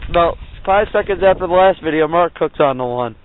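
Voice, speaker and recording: A man's voice: fairly high pitch, brisk, slight background noise. A young man from Ohio, vlogging an ice fishing trip and giving gear tips in an upbeat, rambling way, recorded on a handheld camera. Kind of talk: casual outdoor vlog and gear tips. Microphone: handheld camera mic. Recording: lo-fi phone-like camera audio.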